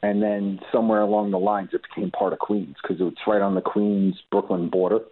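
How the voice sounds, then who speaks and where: A man's voice: fairly low pitch, flat delivery, brisk. Guest musician, male, from New York, loose rambling talker, heard over a thin phone-like line. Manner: rambling, many restarts and fillers. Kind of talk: casual interview chat.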